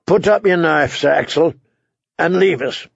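A man voicing a stern, bitter, unbending king in an audiobook, gravelly and husky, with a commanding, humorless manner. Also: a little high, a little slow, dry recording, highs cut off, voice pushed up, exaggerated voice.

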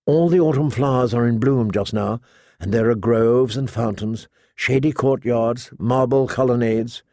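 A man's voice: mid-range voice, measured pace, mellow, dry recording, clean studio sound, natural voice. A man voicing a gracious, coaxing young noblewoman in an audiobook, with a courtly, inviting manner.

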